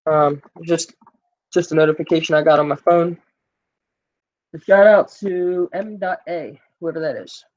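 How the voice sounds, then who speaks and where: A man's voice: on the high side, fairly even intonation, measured pace, dull audio. A man hosting a casual gaming live stream, rambling with plenty of fillers and joking with his viewers. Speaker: gaming livestream host.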